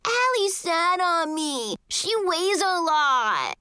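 A woman's voice: very high-pitched, animated, normal speed, sharp tone, pitched above the performer's own voice, exaggerated voice. A woman voicing a small kindergarten boy, a whiny tattletale who pleads and screams, in an audiobook story. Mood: fake crying.

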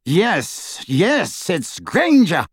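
A man voicing an excited, impatient, pompous middle-aged nobleman. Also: on the high side, a little slow, slightly rough, dry recording, clean studio sound, pitched above the performer's own voice, full character voice. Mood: triumphant. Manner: eager, impatient.

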